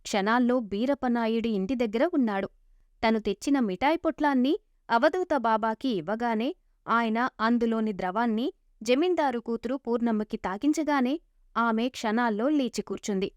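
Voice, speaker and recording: A woman's voice: fairly high pitch, moderate pace, no room sound, clean studio sound. A woman narrating a scripted moral story as a storyteller. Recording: dull lo-fi sound.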